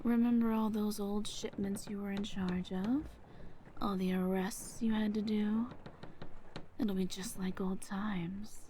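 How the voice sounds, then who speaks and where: A woman playing a sadistic, mocking henchwoman villain in an audio roleplay, taunting in drawn-out, breathy half-whispers. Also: medium pitch, steady tone, dark tone, slight background noise, lightly acted. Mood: smug, taunting.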